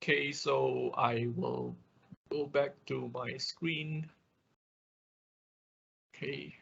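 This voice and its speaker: A man giving an informal technical lesson online, explaining haltingly, repeating himself and tagging phrases with quick check-ins. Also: medium pitch, fairly even intonation, gravelly, fairly bright tone, slightly rough. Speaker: workshop instructor. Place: online class over a computer. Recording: dull lo-fi online call audio.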